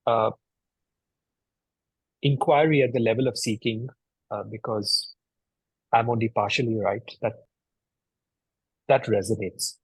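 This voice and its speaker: A man's voice: medium pitch, husky, no room sound, rough recording. A man co-hosting a conversation, reflecting hesitantly and often repeating words as he thinks. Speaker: podcast co-host.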